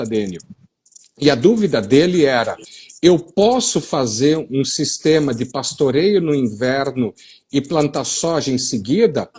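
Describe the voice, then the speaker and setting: Brief: middle-aged man, southern Brazilian gaúcho-tinged accent, slightly high voice with a husky edge, digressive explainer on a video call. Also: darkish tone. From western Paraná, Brazil. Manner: digressive, explanatory, with many fillers and restarts. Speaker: soil science consultant on a live stream. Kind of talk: explanatory live-stream talk.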